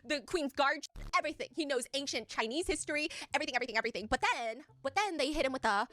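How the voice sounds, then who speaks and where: This is an American woman with a fairly high voice who talks extremely fast. She tells stories like a podcast host among friends, animated and hyperbolic, swearing freely. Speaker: podcast host telling a celebrity story. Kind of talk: casual, animated storytelling.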